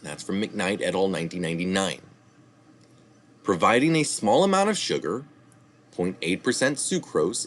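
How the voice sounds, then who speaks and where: A man reading a health article aloud, pausing to add citation asides and correct his own slips. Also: lowish voice, slight background noise. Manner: reads steadily, adding asides and correcting slips.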